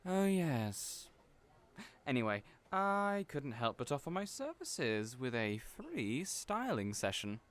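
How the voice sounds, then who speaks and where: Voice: medium pitch, sing-song, slow pace. An actor in an audio roleplay playing a cheerful, chatty hairdresser who is theatrical, effusive and playfully teasing.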